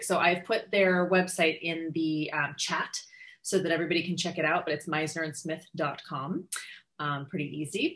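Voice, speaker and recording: A woman's voice: fairly low pitch, fairly bright tone. A woman in her thirties giving an informal, effusive introduction over a video call. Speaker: casting director introducing a performer. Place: home room, indoors. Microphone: video call computer microphone.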